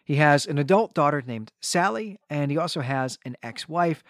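A man's voice: fairly high pitch, animated, normal speed, a bit bright, no echo, studio quality. A man co-hosting a podcast, recapping a story conversationally in a wordy, digressive and wryly joking way. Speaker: podcast co-host.

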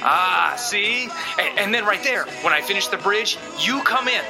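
An actor in an audio drama playing a shy young male guitarist who speaks in a halting, stammering, apologetic way. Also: high voice, expressive, vocal fry, poor audio. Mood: eager. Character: shy, hesitant young male guitarist, quietly obsessed with music. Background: guitar music.

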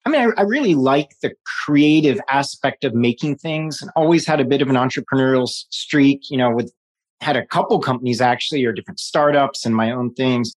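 A man's voice: medium pitch, brisk. Rambling man in his forties. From New York City. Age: 40s. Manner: rambling, many restarts and fillers, laughs often. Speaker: guest. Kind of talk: casual interview conversation.

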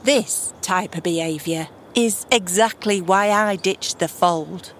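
A woman's voice: fairly low pitch, slow pace, slight background noise. Woman playing a bitter, defiant runaway royal daughter. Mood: indignant. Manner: sarcastic, blunt, slangy complaining. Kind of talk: scripted fantasy audio drama acting.

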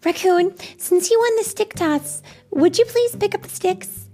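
A woman's voice: very high-pitched, a little slow, mellow, soft background music, voice pushed up. A woman voicing an eager, cheerful young girl in a storybook, bossy and instructive in a sing-song way. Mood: cheerful, commanding.

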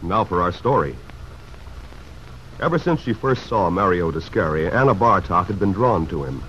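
Low-voiced male vintage radio narrator. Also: lively intonation, normal speed, fairly bright tone. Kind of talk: old radio serial narration, scripted storytelling. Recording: dull, noisy old radio recording.

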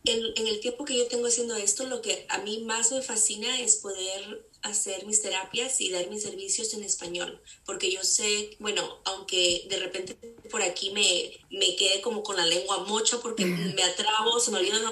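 A woman therapist talks through her work in an earnest, explanatory way, often breaking off and restarting her sentences. Her voice is bright and clear. She sits some distance from the mic, and the room around her is noticeably echoey. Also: mid-range voice. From California. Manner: earnest, explanatory, frequent restarts while searching for words. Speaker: therapist guest. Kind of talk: casual podcast interview.

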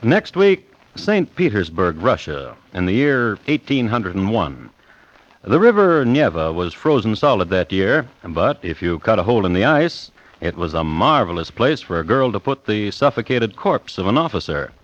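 Wry crime-story narrator, low voice, animated intonation, measured delivery, muffled vintage radio. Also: male voice. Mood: dryly macabre. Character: storytelling true-crime host, wry and deliberate. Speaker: host and narrator. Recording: muffled old radio recording.

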